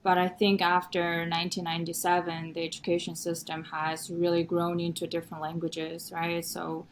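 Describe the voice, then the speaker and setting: Mongolian-born young woman, native Mongolian speaker, animated and chatty, slightly low voice, faint background noise. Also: normal speed. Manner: animated, chatty, frequent "you know". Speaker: podcast guest, researcher. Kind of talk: conversational interview.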